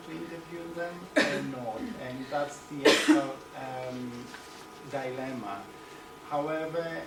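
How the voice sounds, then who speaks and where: A man from Greece with a Greek accent giving an academic seminar talk, hesitant with many pauses and restarts. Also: medium pitch. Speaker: seminar speaker. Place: seminar room. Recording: echoey room, distant mic, loud background noise.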